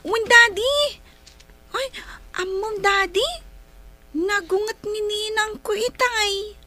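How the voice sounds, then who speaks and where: An actor playing an excitable little child in a radio drama. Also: extremely high pitch, slow, heavily acted. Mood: eager, childlike.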